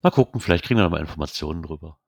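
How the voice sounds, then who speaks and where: A man's voice: a bass voice, rapid-fire, vocal fry. A man from Germany hosting a casual chat podcast, rambling and correcting himself as he talks. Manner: rambling, self-correcting, animated when joking. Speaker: podcast host.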